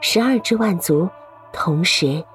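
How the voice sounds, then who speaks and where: A woman's voice: fairly low pitch, animated, takes it slowly, soft background music. A woman reading scripted story narration for an audio drama.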